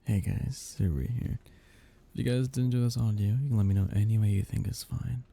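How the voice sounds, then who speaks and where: A man with a husky, gravelly voice, an ASMR performer talking casually to his listeners. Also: fairly low pitch, fairly even intonation, a little fast, warm tone.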